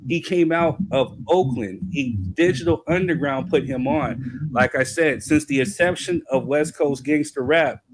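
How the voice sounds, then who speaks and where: A man's voice: on the high side, unhurried, slight background noise, rough recording. Emphatic man from California debating rap. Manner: emphatic, repeats "you know what I'm saying". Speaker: podcast panelist. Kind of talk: casual debate.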